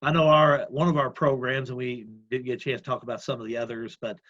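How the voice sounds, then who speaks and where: A man with a loud voice, an executive director talking earnestly in a casual interview, with many fillers and restarts. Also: mid-range voice, flat delivery, fast talker, no room sound, dull audio. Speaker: community action executive director. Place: at home, remote call.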